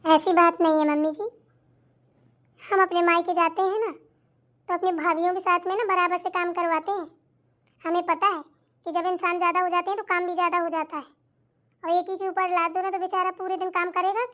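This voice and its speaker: A put-upon young daughter-in-law with an extremely high cartoon voice, played by a woman. She is defensive and cheeky, explaining herself with mild sarcasm as in a family comedy. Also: fairly even intonation, fairly quick, pauses often, a bit bright.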